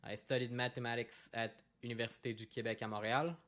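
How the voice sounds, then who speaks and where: A man's voice: medium pitch, level tone, quick pace, a bit bright. A man from Quebec, a native French speaker with a Québécois accent, giving a conference presentation and explaining with many fillers and restarts. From Quebec, Canada.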